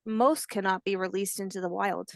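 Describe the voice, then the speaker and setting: A woman voicing a kindly, patient old grandmother alligator, telling facts in a gentle storybook manner. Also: medium pitch, fairly even intonation, normal speed, dry recording, studio quality, natural voice. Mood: patient, informative. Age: older.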